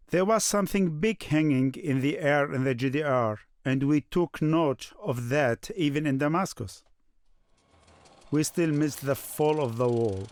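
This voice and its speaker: A man's voice: a little high, dry recording, studio quality. A man voicing a Syrian husband and father recalling family life in scripted first-person narration.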